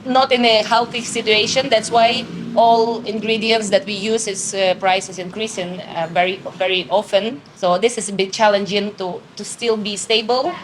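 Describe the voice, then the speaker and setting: A woman in her thirties from Ukraine talks through her answers haltingly, often stopping to search for words. Her voice sits at a middle pitch. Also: fairly even intonation, slight background noise, rough recording. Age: 30s. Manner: hesitant, searching for words, ends answers with laughter. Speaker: bakery owner. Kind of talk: casual interview answers.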